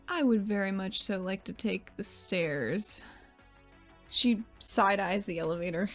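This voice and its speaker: A woman's voice: mid-range voice, a little slow, soft background music. A woman chatting casually as a player in a tabletop roleplaying game.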